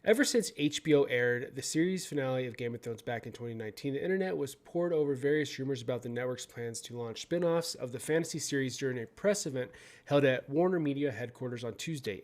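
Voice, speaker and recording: A young adult man hosting his own channel, reading news out loud in a casual way, often stumbling over words, swearing and joking. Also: mid-range voice. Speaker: video host.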